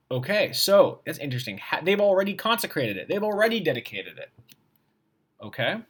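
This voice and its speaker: A man with a mid-pitched voice gives a read-aloud demonstration as a teacher. He moves between reading out formal text and thinking out loud about it, pausing to puzzle and rephrase in an informal way. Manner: informal, pausing to puzzle over and paraphrase what he reads.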